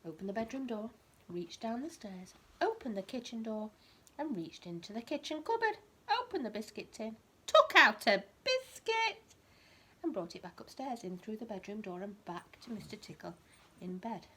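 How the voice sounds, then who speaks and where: A woman's voice: a little high, very animated, normal speed, bright, forceful tone, husky. A woman reading a storybook expressively to a small child, explaining things in simple words as a teacher would. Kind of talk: animated storybook read-aloud with asides explaining words.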